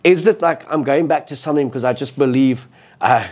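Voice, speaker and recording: A man's voice: mid-range voice, brisk. Fluent man hosting rugby talk. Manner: fluent, recounts match history in detail. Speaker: podcast host. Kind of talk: casual rugby discussion.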